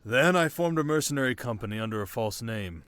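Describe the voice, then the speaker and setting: A man's voice: mid-range voice, lively intonation, a little fast, a bit bright, smooth, no room sound, close to an everyday voice. A man acting a wry young desert king, close-up. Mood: bitter reminiscing. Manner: intimate, wry, dramatic storytelling to a listener. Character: young desert king, sharp, wry, haunted, teasingly tender. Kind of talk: ASMR romance roleplay acting.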